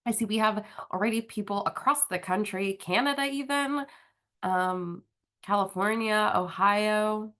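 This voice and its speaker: A woman's voice: mid-range voice, unhurried, a bit bright. A woman with a slight cold, a little sniffly, hosting and presenting an online webinar in a casual, friendly way with frequent fillers. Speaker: webinar host, marketing manager. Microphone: video call.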